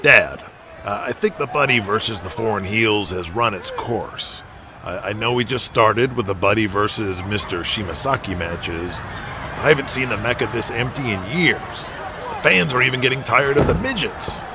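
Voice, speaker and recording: An actor playing a worried, frank wrestler son of a promoter in an audio drama. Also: male voice, on the low side, lively intonation, moderate pace. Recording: noisy arena ambience behind voice. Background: arena ambience.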